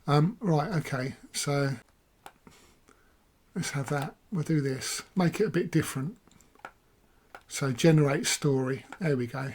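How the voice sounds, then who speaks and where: A hoarse man, casually talking through a software walkthrough and thinking aloud as he clicks. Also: a little high, unhurried, long pauses, slight background noise. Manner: rambling, self-correcting, thinking aloud. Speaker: software reviewer. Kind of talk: casual screen-recorded walkthrough.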